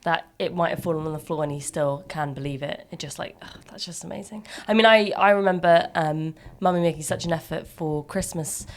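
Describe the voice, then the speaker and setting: A young woman co-hosting a casual podcast chat, wry and blunt, with a slightly deep, nasal voice from sinus trouble. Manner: wry, blunt, quick to laugh. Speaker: podcast co-host.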